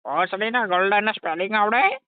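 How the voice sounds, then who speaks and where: A man's voice: sits high, a little fast, clear, resonant tone, no echo, natural voice. A man playing an exasperated, foul-mouthed garage mechanic, scolding impatiently in a scripted comedy phone call. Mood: testy. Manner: scolding, impatient, swearing constantly. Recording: dull lo-fi phone call recording.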